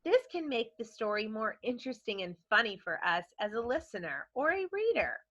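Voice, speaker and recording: A woman's voice: on the high side, sing-song, a little fast, fairly bright tone. A woman speaking as an elementary teacher, instructing young children and putting questions to them during a read-aloud lesson. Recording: dull, lo-fi sound.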